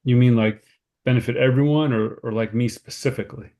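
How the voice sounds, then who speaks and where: A man playing an anxious, straight-laced sceptic in a casual table read. His voice is mid-pitched, and he comes across as polite but easily exasperated. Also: steady tone, brisk, warm tone, close to an everyday voice. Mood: awkward curiosity.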